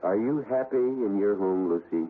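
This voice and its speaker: An actor in a 1970s radio drama playing a stubborn but frightened ghost hunter, dramatic and deliberate, wavering between composure and dread. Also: male voice, fairly low pitch, close to an everyday voice. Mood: guarded, wry. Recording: noisy, muffled 1970s radio broadcast.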